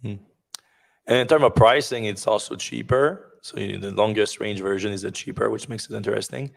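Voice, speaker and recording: A man with a low, fairly monotone voice talks through car news on a podcast, rambling with fillers and false starts. His voice is wearing thin and hoarse. He speaks into a desk mic from a home office. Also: a little fast. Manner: rambling, many fillers and restarts. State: losing his voice. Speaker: podcast host. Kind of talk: casual news discussion podcast.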